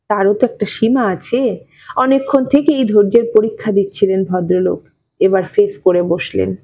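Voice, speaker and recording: A woman's voice: fairly high pitch, gravelly, dark tone, husky, low-quality recording. A woman narrator reading a literary short story expressively from a script.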